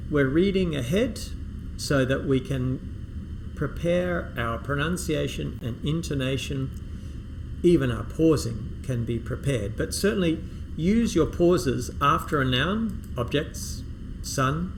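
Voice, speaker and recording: A man teaching a reading-aloud strategy for an English test, modeling sample sentences with exaggerated pitch rises and pauses. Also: lively intonation, noisy background.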